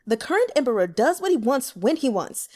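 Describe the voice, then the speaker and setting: A woman's voice: high-pitched, big pitch swings, fairly quick, darkish tone, voice pushed up. A woman voicing a lecherous, blustery elderly nobleman who grumbles, in an audiobook. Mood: grumbling. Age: older. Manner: blustery, complaining.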